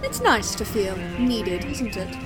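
A woman's voice: on the high side, very animated, slow pace, over loud music. A woman playing a curious, outspoken young female assistant in an audio drama. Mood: wry.

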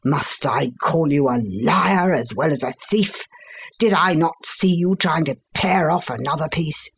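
A man's voice: on the high side, a little slow, gravelly, a bit bright, husky, heavily acted. A man voicing an anguished, outraged Victorian father in an audiobook, agitated and accusing.

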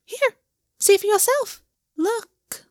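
Shrill chirping paper bird, taunting and riddling, dry close sound. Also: female voice, takes it slowly, darkish tone, no echo.

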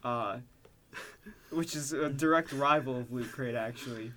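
A man co-hosting a comedy podcast, talking casually and joking in a dry, deadpan way. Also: mid-range voice, unhurried, slight background noise. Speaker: podcast co-host.

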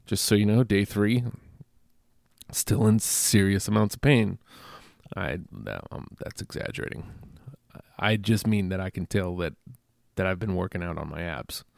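A stuffy-nosed man talks alone into a close microphone for his podcast, rambling and self-deprecating between fillers and false starts. His voice is low and full of gravelly fry, and the recording is dry. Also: lively intonation, moderate pace, pauses often, darkish tone, dry recording. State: congested. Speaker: podcast host. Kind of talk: casual solo podcast monologue.

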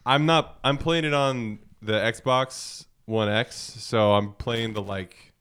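A man co-hosting a gaming podcast in casual, wryly joking talk with a smooth, clear voice. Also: mid-range voice, slow pace, a bit bright. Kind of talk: casual chat.

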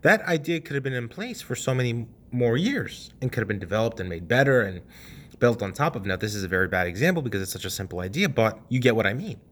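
A young man in his twenties, hosting a podcast and telling a personal story in a casual, animated way, cursing freely and dropping at times to a hush. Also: mid-range voice, fast. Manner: animated, swears casually, swings from loud to hushed. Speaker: podcast host.

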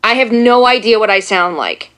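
Chatty woman with a deep voice. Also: lively intonation, moderate pace, a bit bright. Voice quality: deeper voice for a woman. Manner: chatty, self-deprecating, talks at length. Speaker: guest. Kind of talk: casual chat.

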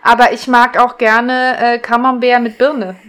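A woman with a fairly high voice speaks as a podcast co-host. Her style is casual and conversational, like everyday chat. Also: flat delivery, a little slow, fairly bright tone, smooth.

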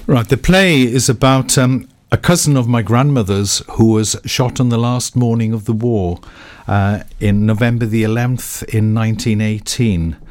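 A man speaking unscripted as a studio interview guest, explaining a story in a measured, halting way. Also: mid-range voice, steady tone, darkish tone. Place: radio studio.

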